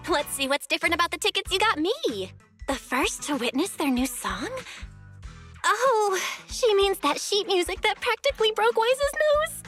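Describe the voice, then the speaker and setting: A woman's voice: very high voice, moderate pace. A woman voicing a friendly, earnest young female video store manager in an anime-style game, speaking expressively and conversationally. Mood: upbeat, delighted. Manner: expressive, animated, conversational delivery. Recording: background music under the voice.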